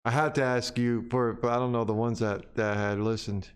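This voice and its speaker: A man's voice: medium pitch, level tone, normal speed, clear, resonant tone. A man hosting a podcast in casual conversation, with an easygoing manner and frequent short agreements.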